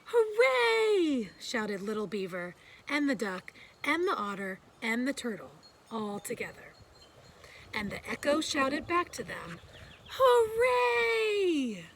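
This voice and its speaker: A woman reading a children's picture book aloud in a gentle, expressive storytelling style. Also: mid-range voice, a little slow, slight background noise.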